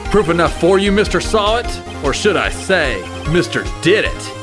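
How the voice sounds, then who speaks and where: A man playing an earnest, excitable young rookie defense lawyer in a dramatic video game dub. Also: high-pitched, moderate pace, music in the background. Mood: confident. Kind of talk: video game fandub acting with internal monologue.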